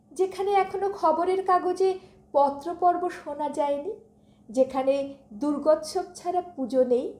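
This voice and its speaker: A woman reciting poetry expressively, with dramatic, deliberate phrasing and pauses. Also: extremely high pitch, darkish tone. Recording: roomy, echoing voice recording.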